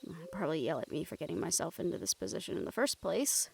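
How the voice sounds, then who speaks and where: A woman's voice: fairly low pitch, animated, quick pace, creaky, a little hiss or hum. A woman playing a young monster hunter in an intimate audio roleplay, casual and sweary, wry, teasing and tender with a partner. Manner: casual, wry, sweary, breaks into soft chuckles. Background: music under the voice.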